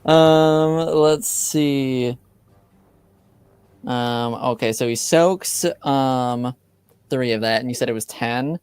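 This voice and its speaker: A man's voice: mid-range voice, takes it slowly, darkish tone, smooth, dry recording. A man running a tabletop game as game master, laid-back, laughing often and swearing casually.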